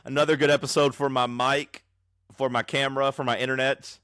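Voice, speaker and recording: A man co-hosting a podcast, loud and teasing as he banters over a remote recording. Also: medium pitch, little pitch movement, a little fast, smooth, dry recording, studio quality. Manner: loud, teasing, jumps in with bits. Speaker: podcast co-host. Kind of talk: casual banter. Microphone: own microphone, recorded remotely in an app.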